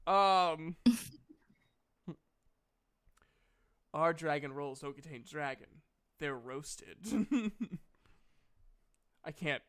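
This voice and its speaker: Bright, unhurried male game master. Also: on the high side, clean tone. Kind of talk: narrating and running a tabletop game.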